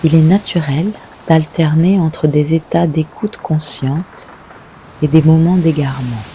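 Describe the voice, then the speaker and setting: Deep soft-toned woman doing guided meditation, gentle and unhurried w long pauses, bit of background hiss. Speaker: yoga nidra guide.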